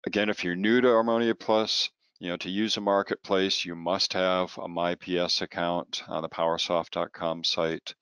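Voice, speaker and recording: A man presenting software training as a product trainer, informal and halting, often repeating words as he explains. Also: low-pitched, level tone, clear, resonant tone, dry recording.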